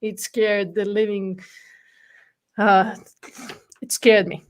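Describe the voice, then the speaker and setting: A woman's voice: mid-range voice, flat delivery, slow. A woman in her forties from Bulgaria, talking candidly as an interview guest, with frequent ums. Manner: frequent ums and light laughter, candid storytelling. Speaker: guest speaker. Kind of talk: casual interview chat.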